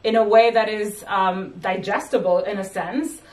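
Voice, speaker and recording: A woman hosting an interview, speaking in a halting way with many fillers and restarts. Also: medium pitch, steady tone, darkish tone, reverberant, far from the mic. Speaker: host and magazine editor. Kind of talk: conversational interview.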